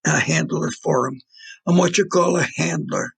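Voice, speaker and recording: A man acting in an audio play as an irritable, menacing mob fixer boyfriend, with blunt, slangy talk and a gravelly, husky voice. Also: fairly high pitch, moderate pace, full character voice. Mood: matter-of-fact, menacing.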